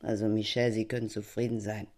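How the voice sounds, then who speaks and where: A woman's voice: very deep voice, flat delivery, fast, darkish tone. An actress playing a tipsy, opinionated, brittle married woman in a theatrical audio drama, with a languid, sardonic way of pronouncing her views. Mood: tipsy musing.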